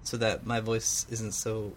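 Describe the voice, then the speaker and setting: A man's voice: on the low side, flat delivery, a little slow, a little hiss or hum. Joking man co-hosting a homemade radio show. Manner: joking, rambling, self-deprecating. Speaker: co-host. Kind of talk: casual chat.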